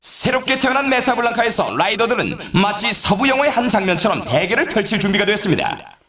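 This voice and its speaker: An actor voicing an excitable male snowboard race announcer in a video game, with a polished, showy scripted delivery. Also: high-pitched, fast, roomy sound, exaggerated voice. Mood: showy, hyped. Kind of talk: scripted game commentary, course introductions.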